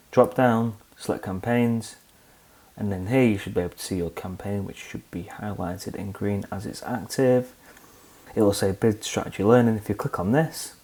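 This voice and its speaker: A young man hosting a screen-recorded software tutorial, calmly walking through each click step by step. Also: on the low side. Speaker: tutorial host.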